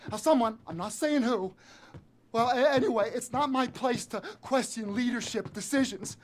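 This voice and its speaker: A man playing a jittery, fawning criminal henchman in a video game, rambling nervously and correcting himself as he goes. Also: very high voice. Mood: panicky. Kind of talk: video game cutscene acting.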